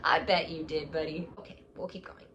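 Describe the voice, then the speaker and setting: Sarcastic young woman vlogging, lowish voice. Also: brisk, lo-fi sound, a little hiss or hum. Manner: sarcastic, lively asides. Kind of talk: casual commentary with a mocking dramatic reading.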